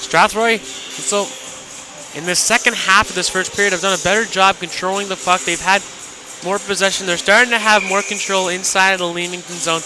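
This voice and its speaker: A man's voice: high voice, steady tone, fairly quick, music in the background, rough recording. A man giving colour commentary and analysis on a live radio hockey broadcast. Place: commentary position in an indoor hockey arena.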